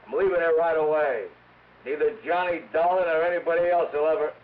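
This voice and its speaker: An actor playing a violent, swaggering crook with tough, slangy talk in a 1950s hardboiled radio drama. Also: male voice, on the high side, fairly even intonation, a little fast, close to an everyday voice. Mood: agitated, cocky. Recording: tinny, noisy old radio recording.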